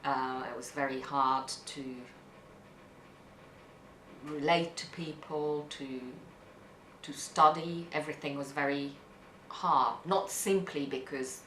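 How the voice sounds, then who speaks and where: A middle-aged woman speaks in a deep register, in an echoey room. She talks candidly and unhurriedly, searching for words and repeating some as she goes. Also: steady tone, slow pace, long pauses, a bit bright. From outside the UK, non-English-speaking country. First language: not English. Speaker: documentary subject, interpreter. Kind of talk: candid reflective conversation. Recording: echoey room with background hiss.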